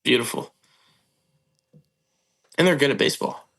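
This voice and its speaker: A man's voice: medium pitch, unhurried, a bit bright, husky. A man speaking as a guest in a casual podcast chat about sports.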